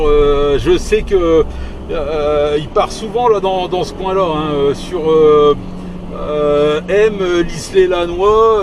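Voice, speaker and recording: A man chatting casually over radio as he drives, hesitant and rambling, often repeating himself. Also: fairly high pitch. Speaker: amateur CB radio operator. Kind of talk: casual radio chat while driving. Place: inside a vehicle on the road. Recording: noisy moving vehicle, engine and road noise.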